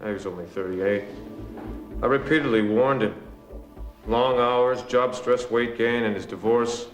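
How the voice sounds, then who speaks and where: An actor playing a blunt, grave autopsy pathologist in dramatized dialogue. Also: male voice, mid-range voice, measured pace, close to an everyday voice. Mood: grim. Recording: echoey room, faint music behind.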